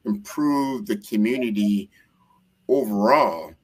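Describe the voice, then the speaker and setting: A man from the United States with a fairly low voice, speaking conversationally as the host of an interview. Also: lively intonation, slow pace, a little mellow, husky. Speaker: show host.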